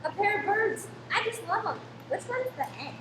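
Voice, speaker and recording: A woman acting an eager, chatty young boy in a radio play, naive and excitable. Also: extremely high pitch, normal speed, full character voice. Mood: delighted. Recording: echoey room with clear noise.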